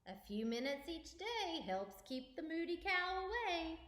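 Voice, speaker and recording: A woman acts out a kindly old grandfather from a children's storybook. He speaks slowly and reassuringly, with gentle patience and plenty of rise and fall. The room adds an audible echo. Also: very high-pitched, big pitch swings, voice pushed up, heavily acted. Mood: warm, encouraging.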